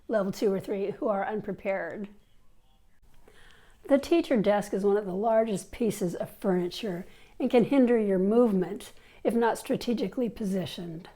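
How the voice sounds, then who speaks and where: A woman's voice: medium pitch, a little mellow. A woman in her fifties with a cold, giving a chatty teacher workshop on a lapel mic with fillers and restarts. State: has a cold. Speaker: language teacher. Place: indoors, seated in an office chair before a plain wall.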